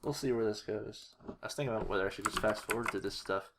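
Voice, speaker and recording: Someone giving an informal editing walkthrough, talking haltingly and muttering to themselves while working. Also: mid-range voice. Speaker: tutorial creator. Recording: noisy home recording, keyboard and clicks. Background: mouse clicks and keyboard typing.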